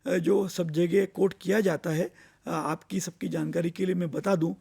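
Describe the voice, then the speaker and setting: Male finance educator, Indian accent, high-set voice with creak, deliberate explanatory delivery that repeats key points. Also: fairly even intonation, dark tone. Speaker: finance expert giving investor education. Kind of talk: explanatory lecture mixing Hindi and English.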